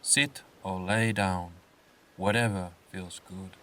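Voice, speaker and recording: A man narrating a scripted guided meditation in a soothing, unhurried way, with phrases set apart by pauses. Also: low-pitched, fairly even intonation, sharp tone, far from the mic, slight background noise. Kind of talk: slow scripted guided meditation with comic swearing.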